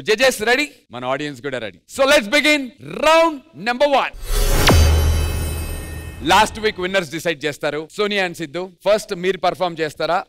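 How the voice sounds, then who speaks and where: A man's voice: high voice, a bit bright. A man with an Indian accent hosting a dance show, announcing energetically and exuberantly to an audience. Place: TV studio stage. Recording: music behind voice. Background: music.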